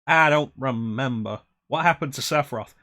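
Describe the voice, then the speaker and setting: A man's voice: medium pitch, normal speed, pushed and bright. A young man hosting a game stream, chatting casually in a rambling, self-deprecating way. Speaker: streamer host. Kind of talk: casual chat while gaming.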